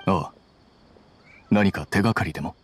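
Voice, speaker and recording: A man's voice: deep voice, moderate pace, slight background noise, natural voice. An actor playing a cool, analytical young male scholar in a Japanese anime game, explaining things in a composed, precise, matter-of-fact way. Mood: cool, detached.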